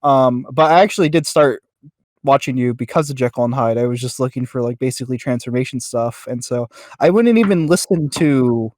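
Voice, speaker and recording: A man's voice: medium pitch, brisk, a little mellow, dry recording, studio quality. A man interviewing in a casual podcast chat, talking in an informal, rambling way.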